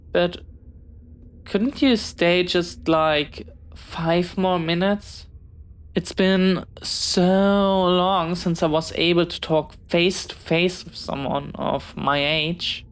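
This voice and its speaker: A woman plays a young pirate captain's child in an audio roleplay, with a lowish voice and an unhurried pace. The character is bookish and eager to be friends, chatting in careful, well-spoken phrases with a touch of hesitance. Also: smooth. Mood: curious, friendly. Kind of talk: one-sided audio roleplay acting.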